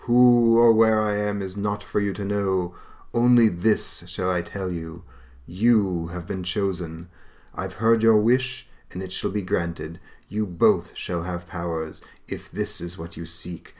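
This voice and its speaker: A man voicing a grand, verbose disembodied spirit who speaks slowly and solemnly. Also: deep voice, fairly even intonation, a little mellow. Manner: slow, solemn, pompous.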